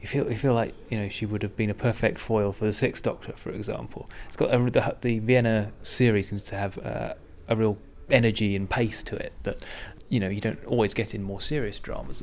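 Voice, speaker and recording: A man's voice: fairly low pitch, fairly even intonation, a little fast, hardly any pauses. A man interviewing a guest on a podcast in a conversational style, offering comments and questions.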